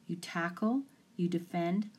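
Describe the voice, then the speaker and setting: A woman speaking as a sports mental coach, leading a guided imagery session in a calm, deliberate, instructing way. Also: fairly low pitch, husky. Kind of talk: guided imagery meditation for athletes.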